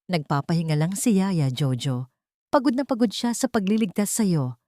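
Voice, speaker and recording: A woman's voice: lowish voice, animated, normal speed, mellow, no echo, clean studio sound, close to an everyday voice. A woman voicing an anguished, tender father who pleads emotionally in an audio drama. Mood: gently reassuring.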